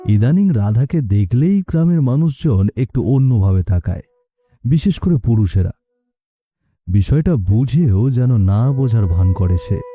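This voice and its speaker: A man's voice: mid-range voice, lively intonation, a little slow, warm tone. A man narrating a scripted story as its storyteller.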